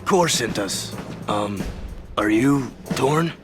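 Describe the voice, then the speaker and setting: An actor playing a brooding young hero in a video game, terse and sardonic. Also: male voice, mid-range voice, expressive, takes it slowly, music in the background. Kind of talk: video game dramatic acting.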